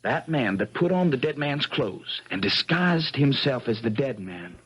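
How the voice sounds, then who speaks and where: An actor playing an earnest, dogged American country lawyer in a 1970s radio drama, husky and folksy, with dramatic insistence. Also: male voice, medium pitch, sing-song, unhurried, a bit bright, exaggerated voice, poor audio. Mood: triumphant, insistent.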